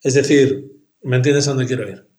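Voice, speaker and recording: A man's voice: medium pitch, normal speed, dark tone, echoey room. A man from in casual chat about music, talking in long rambling arguments with slangy fillers.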